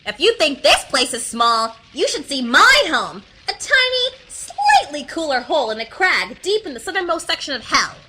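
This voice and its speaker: A woman's voice: sits high, very animated, moderate pace, hardly any pauses, heavy background noise. A woman voicing a sassy, blunt bat who serves as a guide in Hell, mocking and matter-of-fact, in an audio drama. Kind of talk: audio drama character acting.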